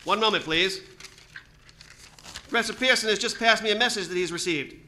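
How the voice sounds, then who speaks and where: An eager old-time radio commentator played by a man speaks in a high, very bright and forceful voice. He is formal and emphatic, in the style of a 1930s radio drama. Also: brisk. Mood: urgent, formal. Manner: formal, emphatic announcer delivery. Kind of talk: 1930s radio drama acting, live performance. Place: staged performance in a planetarium dome.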